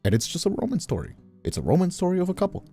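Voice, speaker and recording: A man chatting casually on a livestream, emphatic and animated, often repeating himself as he makes a point. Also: high voice, racing, breathy edge, soft background music. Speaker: streamer. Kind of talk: casual conversational chat on a livestream.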